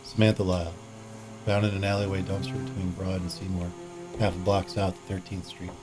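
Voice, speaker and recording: A man's voice: low-pitched, little pitch movement, brisk, music in the background, lightly acted. A man playing a weary, sardonic police detective in a noir audio drama, talking in a dry, world-weary mutter. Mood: grim, narrating. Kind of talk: noir radio drama acting.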